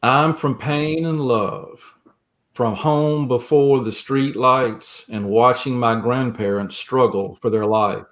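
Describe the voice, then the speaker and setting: A man speaking as an interview guest, reflective and measured, with a gentle, earnest manner. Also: medium pitch, fairly even intonation. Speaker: guest, creative writing teacher. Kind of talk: reflective interview talk and poem reading.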